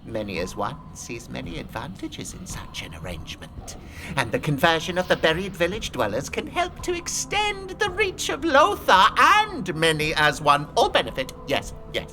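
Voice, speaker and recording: A man's voice: fairly high pitch, huge pitch swings, moderate pace. An actor playing a sly, domineering ratman emissary in a fantasy audio drama, with a hissing, imperious, scheming manner. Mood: scheming, gloating.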